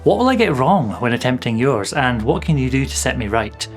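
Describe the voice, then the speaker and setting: Scottish male host, explainer voice, plain and direct, soft music bed. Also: medium pitch, sing-song, a little fast. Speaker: YouTube game master giving accent tips. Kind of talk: scripted explainer narration.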